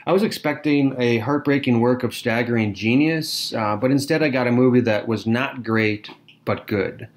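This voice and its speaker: Male podcast co-host, mid-pitched, casual and thoughtful. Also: normal speed. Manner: conversational, thoughtful, with fillers. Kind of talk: casual chat.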